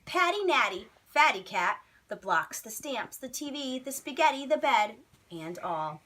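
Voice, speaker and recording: A woman reads a bedtime story to children in an expressive teacher's style. Her voice is bright, with lively rises and falls. She phrases dramatically and puts emphasis on key words. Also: mid-range voice.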